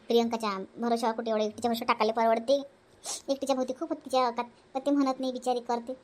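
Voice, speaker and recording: Woman doing soft-hearted dutiful elder sister-in-law in a family cartoon, high voice, quick talker, varhadi marathi accent, kinda hesitant. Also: flat delivery, lightly acted. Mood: wistful, musing. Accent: Varhadi Marathi from Vidarbha. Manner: conciliatory, hesitant, self-sacrificing. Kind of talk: homely family cartoon voice acting.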